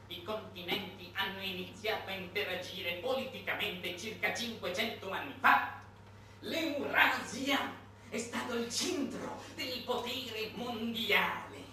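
Casting: male stage monologist, very animated and dramatic, satirical storytelling; heavily echoing, distant recording. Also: high-pitched. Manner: dramatic delivery swinging between hushed and shouted. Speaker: stage monologist, writer-performer. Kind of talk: theatrical satirical narrative monologue. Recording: echoey, noisy recording from a distance.

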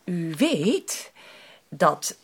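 Genteel, husky-edged woman, lively intonation. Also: on the low side, very slow, full character voice. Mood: polite, pleading. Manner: polite, formal, hesitant phrasing. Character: genteel, tactful woman pleading her brother's case, teasingly affectionate. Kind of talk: Dutch radio play acting.

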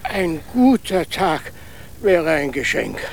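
A poor, resigned old beggar for a radio play. His voice is deeply creaky and fairly high for a man, and he speaks slowly and plainly over faint street noise. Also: sing-song, heavily acted. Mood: weary, humble. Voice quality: gravelly, creaky. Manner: slow, resigned, plain-spoken. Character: poor, humble old male beggar with a beard.

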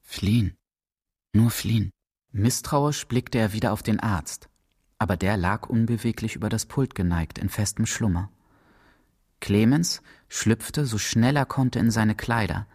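Low-voiced man narrating a radio drama. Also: a little slow, a little mellow, husky, no room sound, studio quality.

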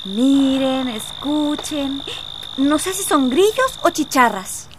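Young woman playing a keen student daughter in a family drama, high voice, faint background noise. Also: animated, takes it slowly. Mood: enthusiastic. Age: young adult. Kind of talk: scripted educational drama dialogue.

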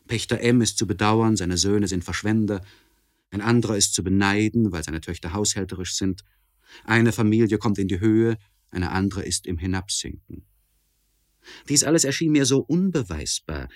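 Man acting a deep-voiced, anguished learned gentleman. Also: no room sound, clean studio sound, close to an everyday voice. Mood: uneasy, indignant. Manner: formal, deliberate, elevated diction. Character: anguished, learned gentleman writing a confessional letter, tormented and precise. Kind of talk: literary radio play monologue.

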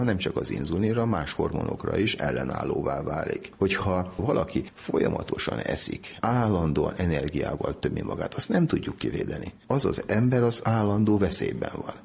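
A man's voice: extremely low pitch, creaky, breathy edge. A man explaining medical research methodically as an interview guest.

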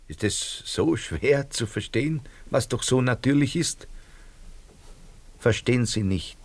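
Scheming middle-aged shopkeeper, Austrian accent, low voice, dry close sound, rambling, ingratiating, radio play. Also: male voice, dry recording. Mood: pompous, ingratiating. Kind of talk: radio drama acting.

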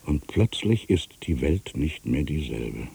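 An actor playing a melancholy writer in his fifties, musing haltingly to himself in a radio play monologue, with a gravelly, husky voice. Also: very deep voice, expressive, dark tone, no echo, heavily acted. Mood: brooding. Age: 50s. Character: aging melancholy male writer back in his hometown.

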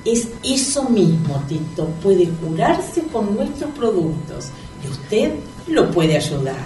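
Una actriz argentina que interpreta en un radioteatro cómico a una promotora de cosméticos persuasiva, mandona y burlona. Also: female voice, medium pitch, big pitch swings, takes it slowly, warm tone, faint music behind. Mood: persuasive. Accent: Argentine, Rioplatense. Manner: persuasive, theatrical sales patter. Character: slick, insistent cosmetics promoter, bossy and mocking. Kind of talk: comic radio play acting. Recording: roomy, distant from the microphone.

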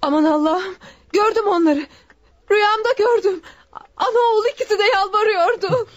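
A frightened young wife speaks in a very high voice. It is tearful and pleading. The sound is that of an old radio drama. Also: female voice, moderate pace, highs cut off. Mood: distraught. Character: young new wife haunted by nightmares. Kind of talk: classic radio drama acting.

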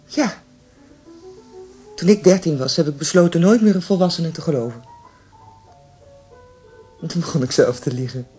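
A woman's voice: low-pitched, normal speed, long pauses, dark tone, husky, faint music behind. An actress playing a worldly, cynical young woman sheltering a friend in a radio drama. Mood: cynical, frank.

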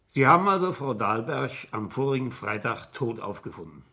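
An actor playing a shrewd, insistent police inspector in a 1970s German radio crime drama, questioning deliberately and sceptically. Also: male voice, mid-range voice, big pitch swings, creaky, pushed and bright, breathy edge, exaggerated voice. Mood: probing.

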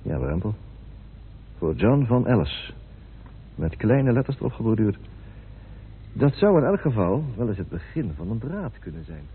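A man's voice: fairly low pitch, very animated, moderate pace, pauses often. An actor playing an observant, deferential male assistant in a radio play. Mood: curious. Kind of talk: radio drama acting. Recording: tinny, noisy old radio recording.

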